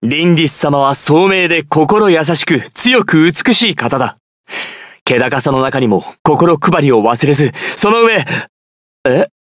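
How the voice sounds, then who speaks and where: A man's voice: on the high side, fairly bright tone, no echo, clean studio sound. A man voicing a devoted, noble knight retainer in an anime-style game, earnest and formal.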